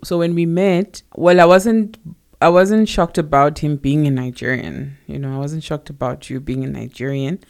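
A woman's voice: deep voice, normal speed, a little mellow. A woman from co-hosting a casual podcast, talking in a chatty, hesitant way. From South Africa. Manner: chatty, hesitant, many fillers and restarts. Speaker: podcast co-host.

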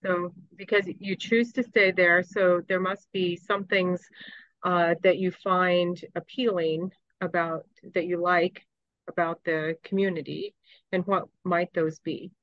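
A woman hosting a podcast, gently asking questions and recapping her guest's answers. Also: on the low side, steady tone, unhurried, no echo, muffled recording. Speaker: podcast host. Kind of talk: interview questions.

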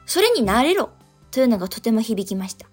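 A woman with a fairly high voice hosts a radio programme. She reads listener letters clearly and chats attentively with brief, agreeing replies. Music plays behind her. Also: sing-song, quick pace, darkish tone. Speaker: radio show co-host. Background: background music.

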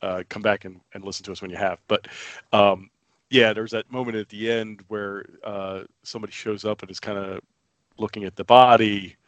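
A man co-hosting a casual podcast, hoarse and raspy as his voice comes back, talking in hesitant stops and restarts. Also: fairly low pitch, steady tone, moderate pace, no echo. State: hoarse, recovering from losing his voice. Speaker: podcast co-host. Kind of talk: casual chat.

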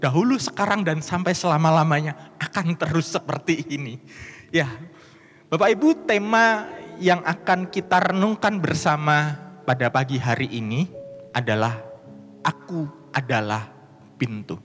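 A man's voice: fairly high pitch, a little slow, long pauses, a bit bright, faint music behind. A man with a Banyumas Javanese accent, preaching and reading scripture aloud with emphatic, rising delivery in a church. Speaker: pastor. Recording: long church hall reverb.

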